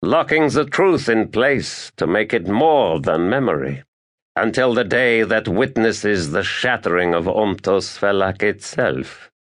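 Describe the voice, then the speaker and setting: A man's voice: fairly low pitch, lively intonation, a little slow. A man voicing a world-weary, sardonic ancient male sorcerer of ice in a fantasy audiobook, dry and solemn. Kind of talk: audiobook character acting.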